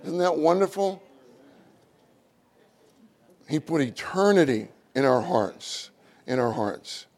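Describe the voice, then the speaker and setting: A middle-aged man with a loud voice, preaching a sermon with animated, acted-out quotes. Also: slow pace, pauses often. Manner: animated, acts out quotes with rising pitch.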